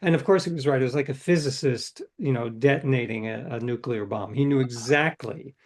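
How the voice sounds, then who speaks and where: A man's voice: medium pitch, a little fast. A middle-aged man, an author being interviewed, telling stories in a thoughtful, meandering way with restarts. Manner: thoughtful, restarts and trails off between ideas. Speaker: interview guest, novelist. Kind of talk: reflective conversational storytelling.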